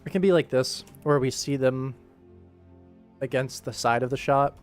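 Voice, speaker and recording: A man's voice: mid-range voice, normal speed. A man hosting a livestream, chatting casually and explaining his work in an easygoing way. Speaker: livestream host and animator. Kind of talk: casual livestream chat, answering viewers while working. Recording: music playing behind the voice. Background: music.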